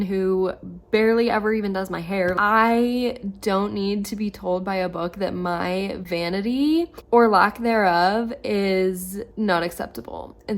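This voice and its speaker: A woman in her twenties chatting casually at home about books, a little hoarse from losing her voice and filling her talk with "like". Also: medium pitch. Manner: chatty, lots of "like" fillers. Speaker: book vlogger. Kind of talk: casual reading vlog.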